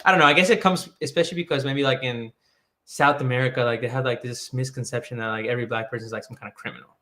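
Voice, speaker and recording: A man from Colombia speaks in a casual discussion, rambling and restarting, with "like" in nearly every sentence. His tone is bright and forward, with an edge of tension. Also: medium pitch, steady tone, racing. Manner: loose, rambling, full of "like" and restarts. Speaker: guest.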